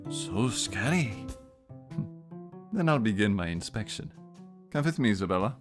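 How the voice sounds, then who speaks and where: A man's voice: fairly low pitch, big pitch swings, a little slow. A man playing a laid-back, flirtatious Victorian doctor in an audio drama, with music behind him. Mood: lazy, amused. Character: easygoing, hungover, flirtatious male Victorian doctor.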